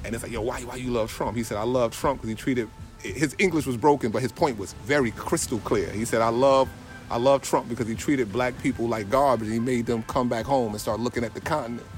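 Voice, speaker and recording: A man telling a story in a lively, slangy, casual way. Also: medium pitch, brisk, soft background music. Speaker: traveler in conversation.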